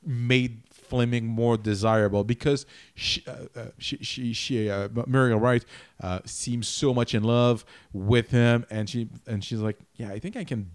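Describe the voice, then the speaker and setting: Halting, thoughtful podcast co-host man. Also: medium pitch, steady tone. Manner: halting, restarts often, thinking aloud. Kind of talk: casual chat reviewing a miniseries.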